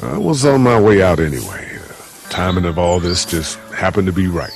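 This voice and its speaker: An actor playing a calm, guarded man in his thirties in a video game, reserved and brief in his answers. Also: low voice, normal speed, vocal fry, soft background music.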